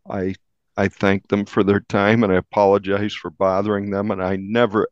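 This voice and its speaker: A man who works as a newspaper reporter, recounting in a measured way during an interview and repeating words for emphasis. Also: on the low side, no echo, lo-fi sound.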